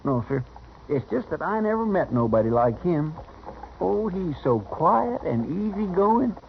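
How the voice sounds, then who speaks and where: A high-pitched, gravelly rural American deputy, folksy and simple-hearted. He drawls along with naive eagerness and deference in an old Western radio play. The sound is muffled and dated. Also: sing-song, unhurried, exaggerated voice. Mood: eager. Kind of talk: 1950s Western radio drama acting. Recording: dull, noisy vintage radio recording.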